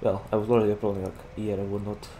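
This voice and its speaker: Lowish Slovak-accented man, chatty gamer. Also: level tone, rapid-fire, dark tone. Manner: rambling, chatty, heavy use of "like" fillers. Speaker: gaming YouTuber. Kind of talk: casual gameplay commentary. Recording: game vehicle noise behind voice.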